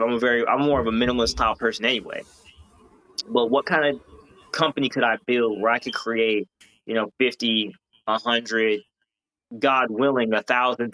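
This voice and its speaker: A man talking casually in a podcast interview, his voice bright and forward. He explains things in an earnest, rambling way, with plenty of fillers and restarts. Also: medium pitch, poor audio. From Hawaii. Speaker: guest, founder of a gaming company.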